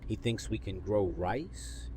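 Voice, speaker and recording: An actor playing a gentle, encouraging council member among survivors in an audio drama. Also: male voice, lowish voice, expressive, slow, a little hiss or hum. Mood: curious.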